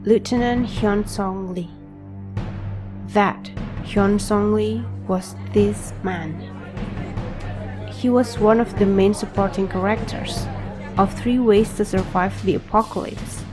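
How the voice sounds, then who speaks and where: A woman's voice: medium pitch, steady tone, slow pace, music in the background, natural voice. A woman playing an introspective young reader, narrating his story in scripted first-person audio drama style. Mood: measured.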